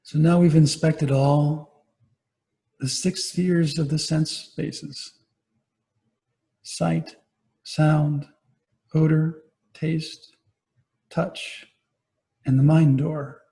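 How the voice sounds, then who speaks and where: Mellow male meditation guide, unhurried. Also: fairly high pitch, flat delivery, vocal fry, rough recording. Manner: gentle, unhurried instruction with pauses. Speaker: meditation teacher, Buddhist monastic. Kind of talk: guided body scan meditation.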